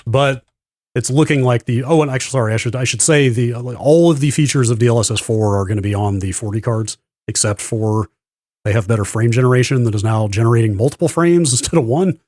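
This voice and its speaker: A man's voice: medium pitch, quick pace, darkish tone, no room sound, studio quality. A man co-hosting a podcast, explaining things casually and in detail with careful qualifications. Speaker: podcast host. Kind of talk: casual tech news discussion.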